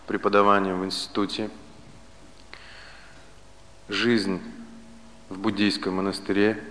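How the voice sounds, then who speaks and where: Deep-voiced man lecturing in a reverberant hall. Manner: deliberate, didactic, short phrases with pauses and repetition. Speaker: spiritual teacher and philosophy lecturer. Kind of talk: lecture on Vedic philosophy to a live audience. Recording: reverberant hall, loud steady background noise.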